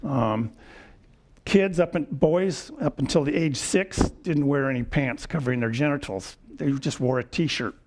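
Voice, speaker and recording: A man in his seventies giving a lecture with personal stories, wry and chuckling, often clearing his throat. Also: medium pitch, a little slow, a little mellow. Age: 70s. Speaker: guest lecturer. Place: lecture hall.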